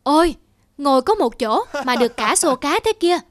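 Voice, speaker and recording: High-pitched lazy peasant husband. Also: female voice, expressive, moderate pace, dry recording, studio quality. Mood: grumbling, eager. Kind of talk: folktale cartoon dubbing.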